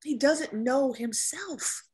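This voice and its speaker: A woman acting a weary, blunt, bitter naval officer with a husky voice in a dramatic script reading. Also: sits high, takes it slowly, a little mellow. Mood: intense, blunt.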